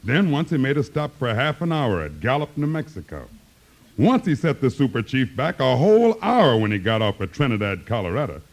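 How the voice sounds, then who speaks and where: A man's voice: medium pitch, sing-song, a little fast. A man playing a puzzled, grumbling railroad attendant with folksy griping in a 1950s radio comedy.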